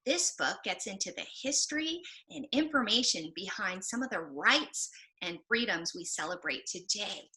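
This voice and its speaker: A woman library presenter giving an expressive book talk for children, explaining and posing questions as she goes. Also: medium pitch, moderate pace, hardly any pauses, rough recording.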